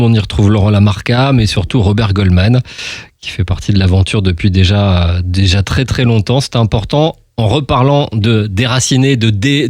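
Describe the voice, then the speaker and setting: Low-voiced male radio host, dry sound. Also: a little mellow, no room sound. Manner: informal, chatty, interjects short agreements. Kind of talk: casual, familiar radio interview.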